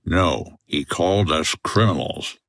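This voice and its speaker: An actor playing a sardonic, unflappable hotel owner in a noir comedy radio drama, delivering lines deadpan. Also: male voice, low voice, unhurried, dry recording. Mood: gruff, wry. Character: dry, unflappable American hotel owner, noir tough guy.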